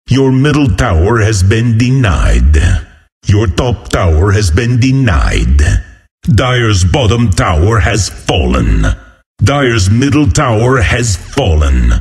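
Casting: burly walrus warrior announcing a video game, boisterous, slow and declamatory, big swings in pitch. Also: male voice, vocal fry, darkish tone, heavily acted.

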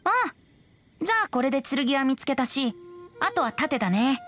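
High-voiced, breezy boyish bard. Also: female voice, lively intonation, normal speed, music in the background. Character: boyish, breezy, poetic young male bard. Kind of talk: anime game acting.

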